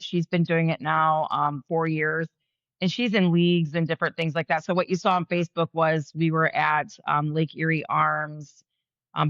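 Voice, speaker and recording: Woman co-hosting a podcast, sharp bright voice, lots of ums, dull lo-fi dry sound. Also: deep voice, creaky, no room sound. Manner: lively, animated talk with frequent ums. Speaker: podcast co-host. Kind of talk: casual chat.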